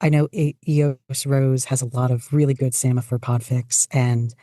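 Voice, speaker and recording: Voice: medium pitch, fairly even intonation, normal speed, mellow, dry recording, studio quality. A podcast guest chatting casually about their own creative work in a hesitant, self-deprecating way. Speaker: podcast guest, fan fiction writer and audio narrator. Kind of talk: casual interview chat.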